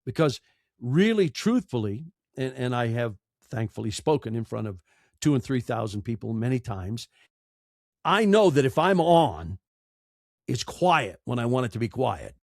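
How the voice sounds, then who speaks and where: A man's voice: medium pitch, big pitch swings, normal speed, creaky, no echo, studio quality. A man speaking as a podcast guest in a conversational, storytelling way, emphatic, with rhetorical questions and repeated phrases. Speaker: podcast guest, broadcaster and audiobook narrator.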